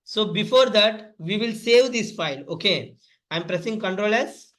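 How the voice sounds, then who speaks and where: A man's voice: sits high, expressive, normal speed, a bit bright. A man instructing in a step-by-step coding tutorial, often repeating and spelling out terms.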